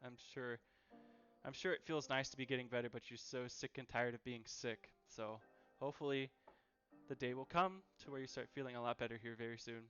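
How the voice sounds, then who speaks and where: A man with a mid-pitched voice chats casually as he hosts a cooking stream from his home kitchen. He is easygoing and tends to repeat himself for emphasis. The sound is dull and lo-fi, with music clearly playing along. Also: steady tone, fairly quick, fairly bright tone. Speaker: host of a live cooking stream. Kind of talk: casual live-stream chat while cooking. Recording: dull, lo-fi livestream audio.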